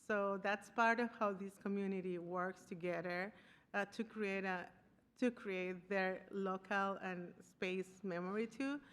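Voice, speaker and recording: Woman speaker from Costa Rica, conference presentation, halting and searching for words, long hall reverb. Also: mid-range voice, steady tone, fairly bright tone, clear, resonant tone. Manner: searching for words, frequent restarts and fillers. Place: conference room.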